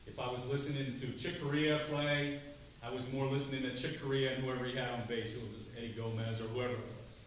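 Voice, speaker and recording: A middle-aged man giving a drum clinic to students, chatty and lively, asking the room questions. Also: medium pitch. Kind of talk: percussion clinic talk to students. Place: large rehearsal hall, standing before seated students. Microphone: far camera, no mic. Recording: roomy hall from far off, faint noise.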